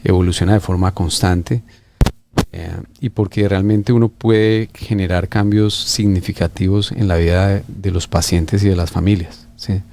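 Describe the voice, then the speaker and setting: A middle-aged man from Colombia, an orthopedic surgeon explaining treatments in an interview, pausing often with fillers and restarts. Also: sits low, fairly even intonation, noisy background. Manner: hesitant, many fillers and restarts.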